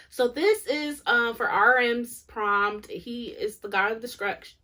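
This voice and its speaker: A woman's voice: fairly high pitch, slow pace, pushed and bright. A woman co-hosting a book vlog, chatting casually about reading plans in a playful, gushing, jokey manner.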